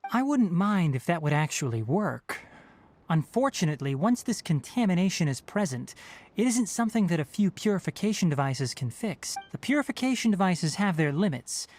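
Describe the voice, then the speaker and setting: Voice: mid-range voice, very animated, moderate pace, a little mellow, slight background noise, lightly acted. An actor voicing a composed, clinical young scholar in a video game, speaking in a precise, formal, lecturing way. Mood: focused, analytical. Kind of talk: scripted video game dialogue.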